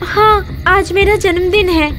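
Very high-pitched timid village wife played by a woman. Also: steady tone, a little slow, a bit bright, faint music behind. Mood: shy, hopeful. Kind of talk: animated story acting.

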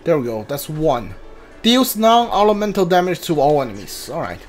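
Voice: medium pitch, big pitch swings, moderate pace, slight background noise. A video game streamer giving casual playthrough commentary, thinking aloud and muttering reactions while playing. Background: game music.

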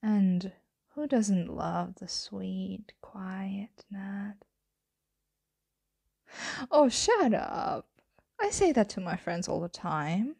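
Slow, breathy, flirty roommate woman acting it. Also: medium pitch, expressive, exaggerated voice. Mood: flirtatious, hungry. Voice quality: soft, breathy, frequently whispering. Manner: slow, hesitant, teasing murmur. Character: flirtatious, teasing female roommate playing vampire. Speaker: ASMR roleplay voice actor. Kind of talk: ASMR roleplay, intimate and close, often whispered.

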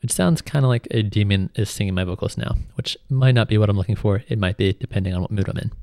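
A man giving an informal, step-by-step tutorial as a music software instructor. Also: on the low side, fast, vocal fry, slight background noise. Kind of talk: tutorial narration, explanatory.

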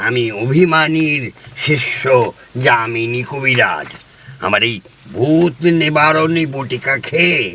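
An actor playing a pompous, self-assured village herbal doctor in an audio drama. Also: male voice, medium pitch, sharp tone. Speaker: Ayurvedic healer (kaviraj).

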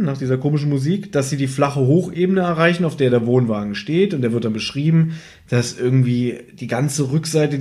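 A man's voice: fairly high pitch, darkish tone. A man co-hosting a podcast, casually reviewing and retelling a story from his notes. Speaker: podcast co-host.

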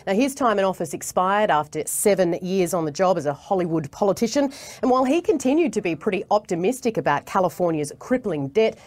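A woman's voice: mid-range voice, expressive, brisk, a little mellow. An Australian woman in her thirties delivering a television news report as a correspondent. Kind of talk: live news report and scripted narration. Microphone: lapel mic.

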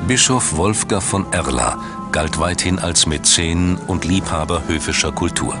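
Deep-voiced man narrating a documentary. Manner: measured, dramatic storytelling. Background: background music.

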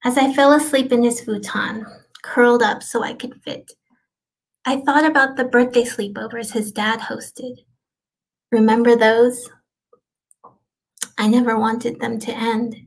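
A middle-aged woman gives a dramatic reading as an actor. Her voice sits fairly high for a woman, with a creaky edge. She speaks softly and reflectively, leaving deliberate pauses. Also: steady tone, low-quality recording. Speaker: actor performing a literary piece. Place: indoors, small plain room.

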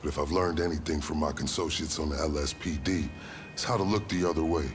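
Corrupt cop for a game cutscene: low, sly, measured and smug, vehicle noise behind. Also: male voice. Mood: smug, businesslike. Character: smug, sly, corrupt male police officer. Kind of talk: video game cutscene acting. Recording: game audio with clear car noise behind.

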